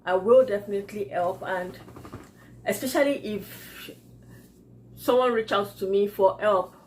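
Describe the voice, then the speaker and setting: A Nigerian-accented woman with Yoruba as her first language, talking casually in a vlog at an ordinary mid pitch. She laughs often and restarts her sentences as she reads and answers viewer comments. A faint hiss sits underneath her voice. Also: unhurried, darkish tone, low-quality recording. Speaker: vlogger.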